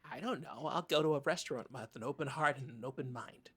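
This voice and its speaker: A man performs a naive small-town podcast co-host with a husky, slightly rough voice. He stumbles and hesitates through improvised comedy banter, sounding timid, earnest and easily offended. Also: medium pitch, fast, a bit bright. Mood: earnest, hesitant.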